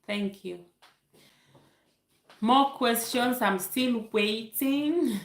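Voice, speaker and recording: A woman's voice: fairly high pitch, very slow, echoey room. A young woman from Kenya with a Kenyan accent and Luo as her first language, hosting a casual live question-and-answer stream, reading viewer comments aloud and laughing often. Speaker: YouTube livestream host.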